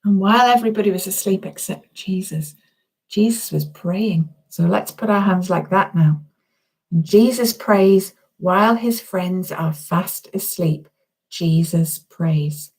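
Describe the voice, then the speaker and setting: A woman's voice: mid-range voice, unhurried, a little mellow, breathy edge, low-quality recording. A woman reading a children's story aloud as its author, chatting expressively and speaking directly to her young listeners.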